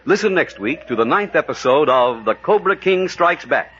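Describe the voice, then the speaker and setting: A man's voice: fairly high pitch, animated, normal speed, fairly bright tone. A man announcing dramatically in the style of a 1940s radio serial. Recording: muffled vintage radio, faint noise.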